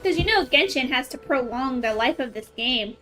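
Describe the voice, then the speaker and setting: A woman's voice: high-pitched, lively intonation, normal speed, pushed and bright, soft background music. A woman streaming a video game, chatting casually as she plays. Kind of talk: casual chat over gameplay.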